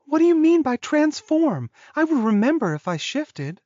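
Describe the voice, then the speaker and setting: A man's voice: sing-song, normal speed, a little mellow, no room sound, highs cut off. A man voicing an anxious, emotional young werewolf heroine in an audiobook, exclaiming in distress. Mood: confused, scared. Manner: high-pitched, exclaiming, distressed.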